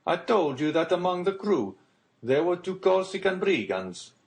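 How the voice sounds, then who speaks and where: A boastful boat's patron from the Mediterranean, acted out by a male audiobook reader. His voice is pitched up and lively. He talks emphatically, full of admiration, as if spinning a sailor's tale. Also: normal speed, vocal fry, full character voice. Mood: confiding, admiring.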